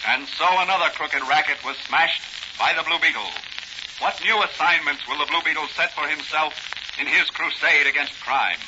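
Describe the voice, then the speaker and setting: Man as dramatic radio serial announcer, fairly high. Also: normal speed, natural voice. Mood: dramatic, emphatic. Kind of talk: 1940s radio announcing, scripted narration. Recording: muffled, hissy old radio recording.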